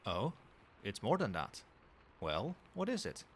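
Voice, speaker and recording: A man playing a doting yet controlling, quietly menacing boyfriend in a soft-spoken ASMR roleplay, coaxing tenderly with pet names. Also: lowish voice, a little slow. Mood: soothing, doting. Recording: steady background noise, night ambience. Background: rain and cricket sounds.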